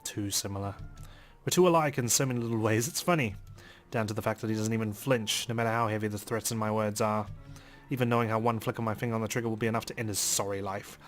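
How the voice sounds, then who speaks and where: Brisk male narrator reading dramatically. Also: on the low side, fairly even intonation, faint music behind. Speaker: let's play narrator. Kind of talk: dramatic first-person visual novel reading.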